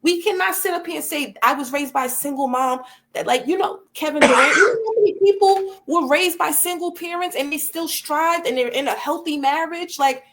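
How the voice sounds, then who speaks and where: A woman's voice: sits high, fairly quick, few pauses, a bit bright. A woman in her thirties, a guest on a casual panel, arguing in a heated way. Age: 30s. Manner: heated, argumentative.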